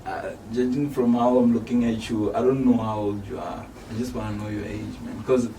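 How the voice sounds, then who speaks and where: A man's voice: on the low side, fairly even intonation, a little fast, dark tone. A man with a South African accent hosting a casual interview in a laid-back, slangy way. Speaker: host. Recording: distant mic with faint noise.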